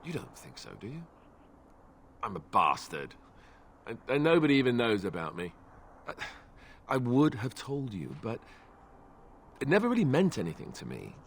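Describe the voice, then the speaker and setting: An actor playing an awkward, self-doubting young warrior in a fantasy video game, speaking hesitantly and sheepishly. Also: male voice, medium pitch, wildly swooping pitch, normal speed, pauses often, a little hiss or hum. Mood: flustered, self-deprecating. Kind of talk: video game dialogue acting.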